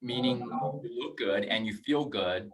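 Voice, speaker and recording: A man's voice: mid-range voice, a little slow, far from the mic. A man in his forties hosting a casual video-call interview, friendly and rambling with frequent restarts. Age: 40s. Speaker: host.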